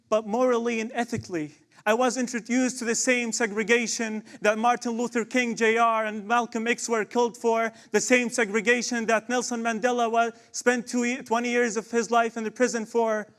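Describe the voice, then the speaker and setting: A man's voice: very high-pitched, level tone, moderate pace, a bit bright. A young man from Palestine, performing impassioned spoken word poetry with rhetorical questions and repeated refrains. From Palestine, West Bank.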